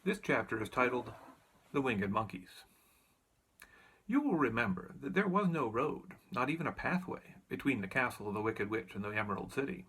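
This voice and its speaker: Guy reading a kids book aloud, super expressive, bit high n creaky. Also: normal speed, rough recording. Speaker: audiobook reader. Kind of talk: animated storybook narration.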